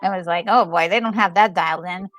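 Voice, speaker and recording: A woman's voice: fairly low pitch, fast, fairly bright tone, no room sound. A woman co-hosting gameplay with casual, slightly rambling, hesitant reactions. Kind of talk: casual chat. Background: faint game music.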